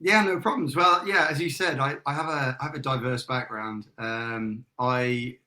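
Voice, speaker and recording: A man's voice: medium pitch, fairly even intonation, normal speed, pushed and bright. A man in his forties with a British accent and a faint Irish tinge, chatting as an interview guest about his life. He talks in a casual, self-deprecating way, laughs often and restarts his sentences. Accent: British, with a trace of Irish. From England. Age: 40s. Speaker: guest, retired army major and business founder.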